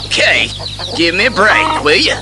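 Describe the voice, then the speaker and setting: An actor playing a folksy, exasperated poultry truck driver, grumbling and flustered, in an audio drama. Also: male voice, high voice, wildly swooping pitch, normal speed. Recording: loud music and poultry sounds behind.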